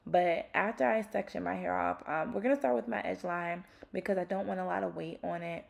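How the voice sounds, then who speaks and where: A young woman with a raspy voice, chatting casually through a hair tutorial at home, with lots of ums. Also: on the low side, brisk. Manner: relaxed, chatty, peppered with ums. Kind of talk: casual how-to narration. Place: home bathroom, indoors.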